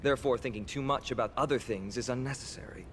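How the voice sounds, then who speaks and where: A man's voice: mid-range voice, fast talker, slight background noise, lightly acted. A man playing an earnest, courteous young soldier hero in a video game. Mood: solemn, earnest. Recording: game music under the voice.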